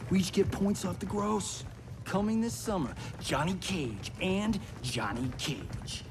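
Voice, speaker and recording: With some noise behind, a brash young American movie star in a game. His voice is high for a man and swings widely, theatrical and flippant. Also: lively intonation, a little slow.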